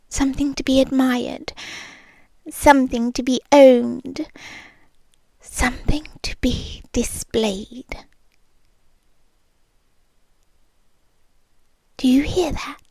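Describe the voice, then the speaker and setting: A young British woman voicing a calm, controlling rich girlfriend in an ASMR roleplay, speaking slowly and softly and often whispering close to the mic. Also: sits high, breathy edge, no room sound, heavily acted. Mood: hushed. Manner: slow, soft-spoken, often whispering close.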